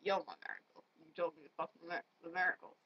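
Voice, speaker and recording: Girl doing a chill dopey stoner troll dude, pitched down, sweary, lo-fi webcam. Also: steady tone, rapid-fire, breathy edge. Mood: chilled, affectionate. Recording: dull lo-fi webcam audio.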